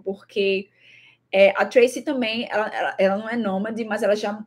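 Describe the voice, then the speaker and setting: A young Brazilian woman with a Brazilian Portuguese accent talks casually to the camera about her travels, thoughtful and repeating words, with many fillers. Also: on the high side. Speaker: traveling vlogger.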